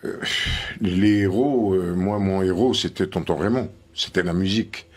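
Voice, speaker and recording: A man's voice: low-pitched, normal speed, a little mellow, breathy edge. An older man from Algeria, a guest on a television panel, explaining at length with many hesitant fillers.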